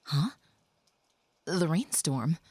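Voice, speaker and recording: A woman voicing a gentle, protective young female vampire in an audio roleplay, speaking softly and reassuringly, sometimes whispering. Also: low voice, animated, very slow, breathy edge, no room sound, full character voice.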